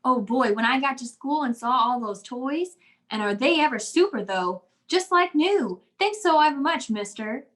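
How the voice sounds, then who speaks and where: A woman's voice: high voice, expressive, moderate pace, fairly bright tone. A woman playing a chatty, eager little schoolgirl in an old-time radio comedy, pert and childlike. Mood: excited.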